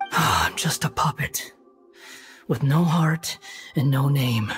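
A man's voice: medium pitch, takes it slowly, fairly bright tone, faint music behind. A man voicing a guilt-ridden, aloof young wanderer in a video game, somber and restrained. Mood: bitter, despairing. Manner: somber, restrained, sighing delivery. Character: brooding youthful male puppet wanderer, guilt-ridden and aloof.

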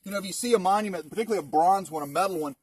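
Husky park ranger man talking outdoors. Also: high voice, very fast, no echo. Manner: conversational, rhetorical questions. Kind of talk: informal guided history talk to a group. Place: outdoors in open woods. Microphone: camera a few metres away. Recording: distant outdoor mic.

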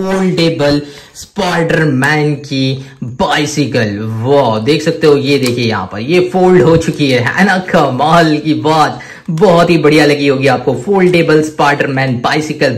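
A man with an Indian accent presenting products to viewers in an excitable, gushing way, piling up superlatives. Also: a little high. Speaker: toy reviewer and presenter. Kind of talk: enthusiastic product showcase to viewers.

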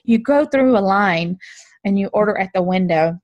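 A woman co-hosting a travel podcast over a video call, pitching ideas in an animated, laughing way. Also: normal speed, no echo, highs cut off. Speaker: podcast co-host. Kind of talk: casual chat. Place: home room, video call.

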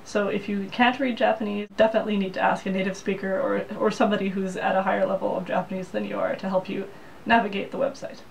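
A woman's voice: mid-range voice, flat delivery, quick pace, creaky. A young woman vlogs from a small apartment room, laying things out in a plain, practical way. Her voice sits in an ordinary middle register. The camera mic is a little distant, and a faint hiss runs underneath. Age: young adult. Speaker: vlogger. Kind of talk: casual talk to camera. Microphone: camera mic, head-and-shoulders framing.

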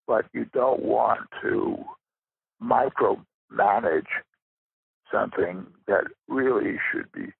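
An older man giving a reflective interview as a guest, with a gravelly, husky voice and deliberate, halting phrasing. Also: on the low side, no echo. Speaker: interview guest, writer and rare book dealer. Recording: thin phone-like line.

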